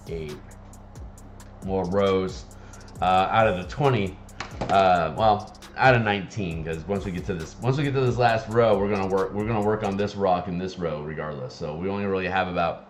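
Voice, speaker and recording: A man with a raspy morning voice, chatting casually on a live stream and rambling with many fillers and restarts. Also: on the low side. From Seattle area. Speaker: streamer and game designer.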